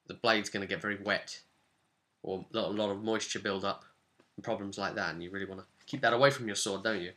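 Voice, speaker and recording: A man with a very bright, forceful voice at an average pitch. He talks casually as a game master recapping a tabletop session, telling it in an animated way and breaking off into asides and tag questions. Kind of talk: casual vlog recounting a tabletop role-playing game.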